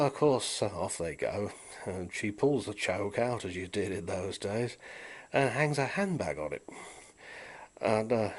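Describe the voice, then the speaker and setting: Low-voiced British man, wry and rambling. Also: slightly rough. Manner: wry, dryly humorous, musing. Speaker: vintage audio hobbyist and repairer presenting. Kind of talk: casual, rambling demonstration chat with anecdotes.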